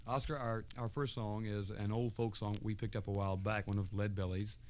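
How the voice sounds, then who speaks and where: A man's voice: lowish voice, little pitch movement, brisk, a little mellow, slight background noise. A man who sings in a folk group, introducing a number on an old radio show. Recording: old radio recording with music.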